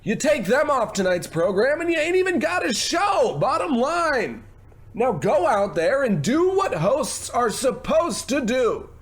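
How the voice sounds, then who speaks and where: A man's voice: extremely high pitch, big pitch swings, measured pace, full character voice. A man playing a pushy, callous TV show boss in a comedy radio play, brash and bossy.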